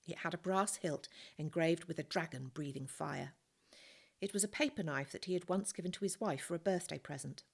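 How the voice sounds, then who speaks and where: A woman teacher reads a novel aloud in a calm, measured way. Her voice sits a little low, and her narration stays steady and unhurried. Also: gravelly. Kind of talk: storybook reading.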